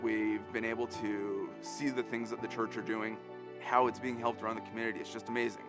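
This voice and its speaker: A man's voice: on the low side, flat delivery, a little fast, music in the background. A man giving a candid church testimony in a conversational way. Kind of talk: testimony interview.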